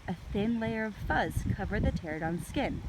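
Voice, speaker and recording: A woman reading a children's book aloud in an expressive, deliberate storytelling manner. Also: medium pitch, noisy background.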